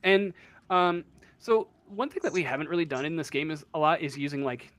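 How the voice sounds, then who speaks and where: A man's voice: fairly high pitch, brisk, fairly bright tone. A man acting as game master, casually explaining a tabletop roleplaying game in a hesitant way, as if thinking aloud.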